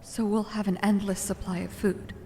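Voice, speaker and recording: An actress playing a curious, sceptical, easily amazed visitor in a sci-fi audio drama, speaking casually with blunt, hesitant phrasing. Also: female voice, mid-range voice, flat delivery, normal speed, warm tone, slight background noise, close to an everyday voice.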